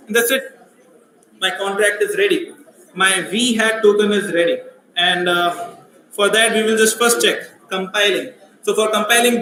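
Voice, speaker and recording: A man running a live coding workshop, speaking loudly and asking his audience questions. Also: high-pitched, roomy sound, slight background noise, low-quality recording. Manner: loud voice, asks the audience questions. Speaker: workshop instructor. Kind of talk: live coding workshop, mixing English and Hindi.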